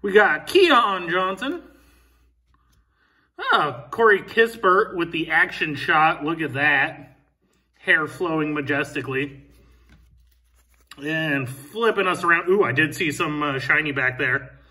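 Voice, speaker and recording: A man in his thirties with a bright, fairly high voice cracks dry, self-deprecating jokes while flipping through his pack. He is recorded from a little way off at a table indoors. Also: far from the mic. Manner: dry, self-mocking joking. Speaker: co-host opening card packs. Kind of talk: casual card-opening chat.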